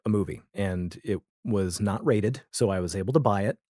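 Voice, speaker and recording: Low-pitched American man, dry close sound. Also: normal speed, mellow, dry recording. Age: 40s. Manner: chatty, acts out imagined dialogue. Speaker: podcast guest. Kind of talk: casual anecdotal interview chat.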